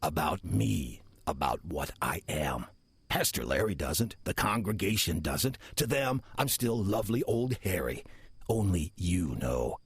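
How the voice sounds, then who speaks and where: Very husky, deep, sly demon. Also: male voice, expressive, no echo, heavily acted. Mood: quietly menacing. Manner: measured, insinuating, mock-polite. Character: sly, smooth-talking demon posing as lovable old church deacon. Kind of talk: horror audio drama acting.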